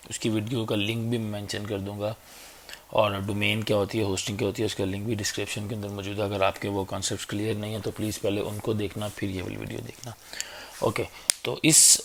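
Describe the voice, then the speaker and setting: A man with a sore, hoarse throat giving a casual, chatty screen-recorded web design tutorial on a basic mic. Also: fairly low pitch. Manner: casual, chatty, fills gaps with "okay" and "theek hai". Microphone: basic mic, a better one on order.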